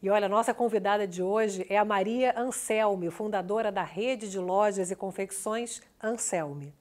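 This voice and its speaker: A woman's voice: medium pitch, normal speed, a little mellow, a little hiss or hum. A woman co-hosting a TV interview program, welcoming the guest and asking short, friendly questions. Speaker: co-host.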